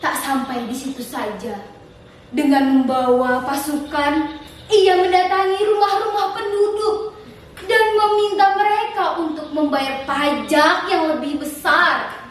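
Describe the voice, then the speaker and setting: A woman's voice: high voice, unhurried. A teenage young woman with a Central Kalimantan Indonesian accent, telling a folktale theatrically in a dramatic, declamatory contest style. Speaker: storytelling contestant. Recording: distant echoey room with faint noise.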